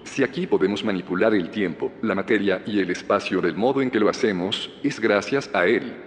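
An actor playing a calm, secretive male scientist who leads a hidden community, measured and evasive, in an audio drama. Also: lowish voice, lightly acted. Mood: proud, solemn. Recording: reverberant cave with noisy ambience.

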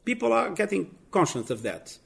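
A man with a slightly higher, gravelly voice answers an interview question about world affairs. He talks in a measured, deliberate way, pausing often to gather his thoughts. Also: sing-song, fairly bright tone. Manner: measured, deliberate, with many pauses and fillers. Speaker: interviewed guest. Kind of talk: considered political commentary.

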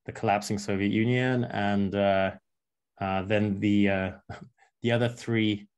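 Casting note: thirties man, reflective interviewee, lowish voice, pauses and restarts a lot. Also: fairly even intonation, smooth. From Russia, raised in England. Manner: thoughtful, halting, many fillers and restarts. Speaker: entrepreneur guest. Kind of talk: interview answers.

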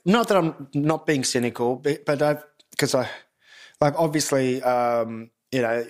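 A man's voice: medium pitch, measured pace. A man with an Australian accent interviewing a guest, asking questions in a rambling, hesitant way. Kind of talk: casual interview chat.

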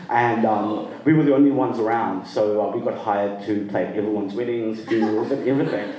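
A man's voice: on the low side, brisk, mellow, far from the mic. A man born in the UK and raised in Sri Lanka, telling his own story at a live storytelling night in a casual, rambling way and laughing easily. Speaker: storyteller guest. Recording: roomy echo, from off-mic.

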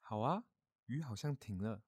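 A man voicing a kindly grown-up mole in a children's audio story, gentle, caring and reassuring. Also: mid-range voice, animated, normal speed, slightly rough, no room sound, clean studio sound. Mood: relaxed.

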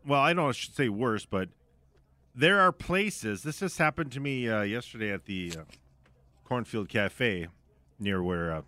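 A man's voice: mid-range voice, expressive, measured pace, sharp tone. A middle-aged man with an American accent co-hosting a sports talk radio show, bantering casually and telling anecdotes with self-corrections. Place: radio studio.

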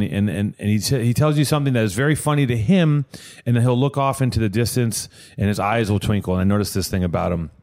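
A man's voice: medium pitch, darkish tone. A man hosting a casual podcast chat, rambling and restarting his sentences often, on a podcast microphone. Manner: rambling, with many restarts and repeated words. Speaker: podcast host.

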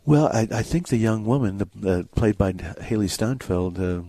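Low, dry-sounding man co-hosting movie banter. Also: animated, normal speed, warm tone, no room sound. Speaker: movie show co-host and reviewer. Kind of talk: casual film and TV banter.

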